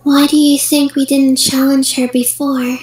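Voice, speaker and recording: A woman playing a small, quiet, deadpan little sister in an amateur anime fandub reading. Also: high-pitched. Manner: slow, flat, deadpan delivery. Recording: echoey home stream with faint noise.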